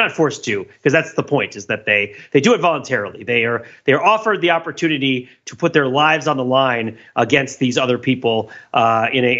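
A man's voice: mid-range voice, bright, forceful tone, dull audio. A man co-hosting a casual podcast, explaining things in a rambling way and often interrupting himself. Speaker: podcast co-host.

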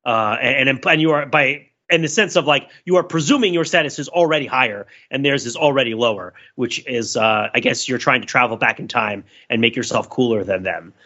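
A man, a podcast co-host talking casually and analytically, explaining his points in a wordy, halting way. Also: medium pitch, bright, forceful tone, muffled recording.

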